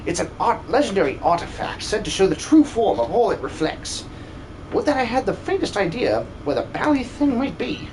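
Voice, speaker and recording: A man's voice: high voice, sing-song, fairly quick, darkish tone, slight background noise, pitched above the performer's own voice, poor audio. A man voicing a pompous, gluttonous old soldier with theatrical, old-fashioned exclamations in a video game fan dub. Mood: indignant, alarmed. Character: blustery gluttonous old bearded soldier, paranoid about spies.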